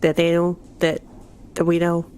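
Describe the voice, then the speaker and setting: A woman takes part in a tabletop roleplaying game as a player. She has a lowish voice and talks casually, improvising as she goes. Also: monotone, moderate pace, darkish tone, clean tone.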